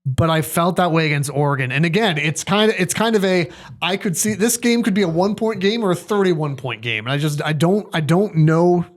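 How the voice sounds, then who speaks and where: Guy cohosting sports pod, bit higher voice, casual, kinda hesitant, thinks out loud. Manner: hesitant, thinking aloud, measured. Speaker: sports podcast co-host.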